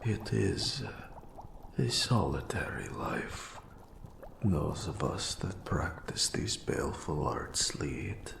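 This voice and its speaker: An ancient-sounding eldritch mentor of forbidden magic, speaks in a fantasy audio drama. The voice is abyssally low and hushed to a gravelly whisper, with a roomy echo around it. The delivery is grave, formal and ominous. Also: male voice, lively intonation, exaggerated voice. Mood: grave, brooding. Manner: slow, ominous, archaic formal speech.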